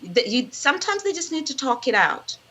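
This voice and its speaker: A woman's voice: sits high, very animated, a little fast, sharp tone. A woman from Kenya, speaking as a mental health professional, earnestly advising on a talk show. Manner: earnest and advising, with frequent restarts. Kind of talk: expert guest on a casual talk show.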